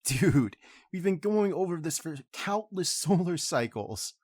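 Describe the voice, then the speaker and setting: A man voicing a young alien prince who talks in a cheerful, casual, excitable way. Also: a little high, unhurried, breathy edge, dry recording, studio quality.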